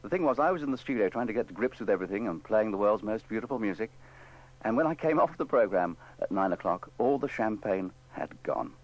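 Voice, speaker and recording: A man's voice: lowish voice, lively intonation, fast talker, a little mellow. A man presenting classical music on the radio in a chatty style. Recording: dull band-limited radio broadcast recording.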